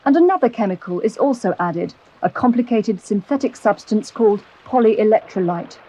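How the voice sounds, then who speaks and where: A British woman reading scripted narration for an educational film, explaining in a clear, measured way. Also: medium pitch, darkish tone, no echo. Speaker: documentary narrator. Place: close, dry voice-over recording.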